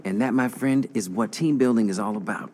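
An actor playing a young crew boss in a video game, cocky but earnest, with a casual, slangy way of talking. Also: male voice, fairly low pitch, fairly quick, warm tone, slight background noise, lightly acted. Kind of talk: video game cutscene acting.

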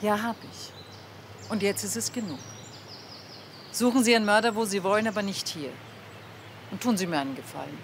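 A woman's voice: medium pitch, brisk, pauses often, a little hiss or hum, lightly acted. A woman playing a haughty, cool countess in a crime drama, dismissive and imperious. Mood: indignant. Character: haughty, cool aristocratic countess defending her family. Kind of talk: German TV crime drama acting.

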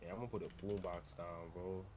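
A man's voice: deep voice, fairly even intonation, fairly quick, a little mellow, a little hiss or hum. A young man doing casual, slangy street roleplay in an online game, often repeating himself. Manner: slangy, repetitive.

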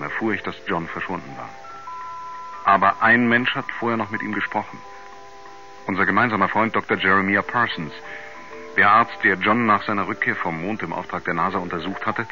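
An actor playing a sober narrator who gives a measured, matter-of-fact account in a 1970s radio drama. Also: male voice, low-pitched, pushed and bright. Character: sober male narrator recounting a friend's disappearance. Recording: muffled 1970s radio play recording.

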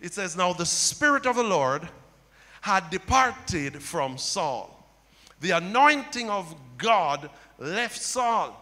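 A middle-aged male pastor preaching a sermon on a church stage into a handheld mic, building to loud impassioned cries. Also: sits high, sing-song, slow pace. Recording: long hall reverb.

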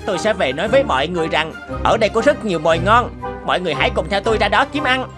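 A woman's voice: medium pitch, expressive, racing, over music, lightly acted. A woman voicing a cunning, fawning wild turkey in a cartoon, pleading and persuading. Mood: coaxing, persuasive. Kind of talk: cartoon character acting.

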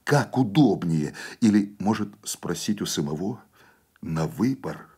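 A man's voice: low voice, lively intonation, measured pace, warm tone, husky, exaggerated voice. A man voicing a reluctant, uneasy partisan sent as executioner in a radio story, terse and muttering. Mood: grim, hesitant.

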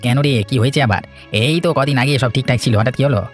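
A man's voice: medium pitch, steady tone, very fast, faint music behind, natural voice. A man playing a concerned, friendly young pal in a cartoon, with an inquisitive manner. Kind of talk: cartoon voice acting, domestic moral story.

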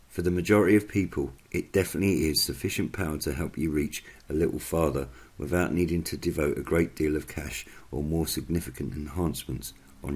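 Very deep-voiced man narrating. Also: husky. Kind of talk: scripted explainer narration.

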